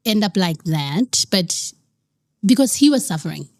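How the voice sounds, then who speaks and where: A woman's voice: lowish voice, sing-song, a little slow, a little mellow, no echo. A young South African woman telling a personal story in an interview, earnest, slipping Zulu phrases into her English. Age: young adult. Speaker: guest.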